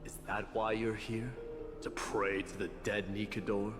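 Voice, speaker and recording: A male actor voices a proud warrior in a fantasy game. His low voice is gruff, brooding and terse, set over clearly audible music. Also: flat delivery, unhurried, close to an everyday voice. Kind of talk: scripted video game dialogue.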